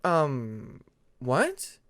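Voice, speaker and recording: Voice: mid-range voice, huge pitch swings, very slow, gravelly, fairly bright tone, heavily acted. A narrator voicing a young male dragon who is curious and uncertain, speaking in a hesitant, questioning way. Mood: distracted.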